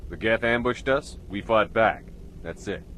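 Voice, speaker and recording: A man's voice: on the low side, unhurried, a bit bright, slight background noise. A man playing a blunt, hard-edged military space commander in a video game, terse and commanding. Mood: firm.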